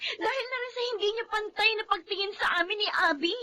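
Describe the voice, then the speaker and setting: A woman's voice: extremely high pitch, quick pace, sharp tone. A woman playing an emotional, pleading grown daughter in a Filipino drama, with heartfelt delivery. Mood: hurt, reproachful.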